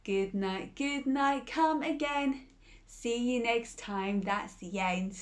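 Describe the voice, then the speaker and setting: A woman reading a bedtime fairy tale aloud and chatting gently with young listeners between lines. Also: a little high, takes it slowly. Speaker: storyteller.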